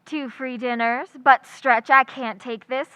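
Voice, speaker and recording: A woman acting a wry, quick-witted schoolteacher in old-time radio comedy, full of dry, sarcastic wisecracks. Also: high voice, fairly even intonation, sharp tone, clean tone, no echo, poor audio. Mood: wry, playful.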